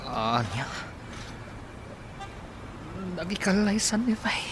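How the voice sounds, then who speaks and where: A man's voice: sits high, very animated, moderate pace. A man with an Ilocano Filipino accent playing an earnest, heartbroken young sweetheart in a radio drama. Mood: shocked. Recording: noisy, vehicle-like ambience behind.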